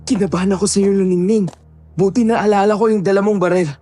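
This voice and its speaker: A man voicing a brave rural man who shouts defiance at monsters in a spoken horror story. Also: high-pitched, fairly even intonation, quick pace, soft background music, lightly acted. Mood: relieved. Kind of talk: audio horror story acting.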